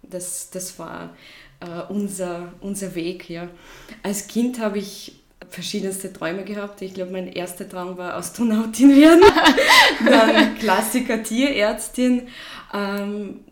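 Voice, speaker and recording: A woman's voice: mid-range voice, slow. A woman in her thirties from Russia, a native Russian speaker, telling her personal story as an interview guest in a reflective, hesitant way. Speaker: podcast guest, shop founder. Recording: roomy echo, faint background noise.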